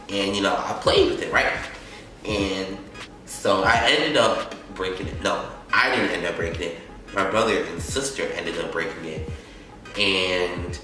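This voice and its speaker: A sick teenage boy with a scratchy throat, talking in a deep, slow ramble. He sits far from the camera in a very echoey room. Music plays clearly behind him. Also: pushed and bright. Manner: chatty, rambling, full of likes and you-knows. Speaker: vlogger. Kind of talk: rambling casual unboxing chat. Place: indoor room. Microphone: camera set up some distance away.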